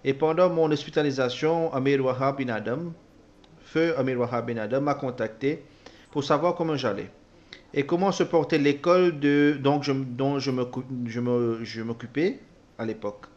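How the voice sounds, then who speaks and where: A man interpreting a personal testimony, speaking haltingly with repeated words and self-corrections. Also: mid-range voice, darkish tone, lo-fi sound. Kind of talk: spoken translation of a personal testimony.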